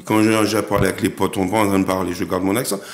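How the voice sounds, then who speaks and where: A man with a low, gravelly voice, talking haltingly as a guest on a TV interview. He repeats words and restarts often. The room around him sounds echoey. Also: level tone. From Martinique. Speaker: actor and director, guest.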